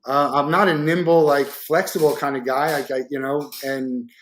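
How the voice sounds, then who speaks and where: A man's voice: fairly high pitch, fairly even intonation, moderate pace, a bit bright, echoey room, slight background noise, poor audio. A man in his forties hosting a casual interview, asking rambling, easygoing questions with hesitations. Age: 40s. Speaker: host.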